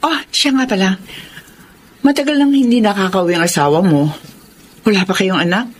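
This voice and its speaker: A woman's voice: mid-range voice, moderate pace, a little hiss or hum, close to an everyday voice. A voice actress playing a patient, caring middle-aged mother in a Filipino radio drama. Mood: friendly, motherly.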